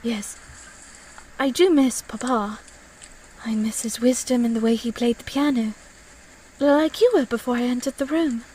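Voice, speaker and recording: A woman's voice: fairly high pitch, a little slow, long pauses, darkish tone, husky, low-quality recording. An actress playing a tender, sincere young lady in a period audio drama, speaking with polite, old-fashioned formality. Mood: wistful.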